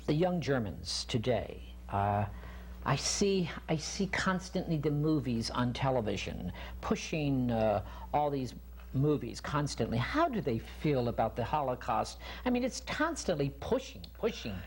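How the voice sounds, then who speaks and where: A man's voice: medium pitch, very animated, unhurried. A man in his sixties hosting a chatty interview, gushing, interjecting and repeating himself. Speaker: cable TV talk show host. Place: guest's home, TV interview. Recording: noisy, humming 1990s cable TV audio.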